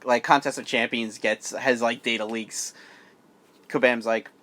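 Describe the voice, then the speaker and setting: A man's voice: mid-range voice, steady tone, unhurried, sharp tone. A man co-hosting a casual podcast, laid-back and crude, teasing his co-host.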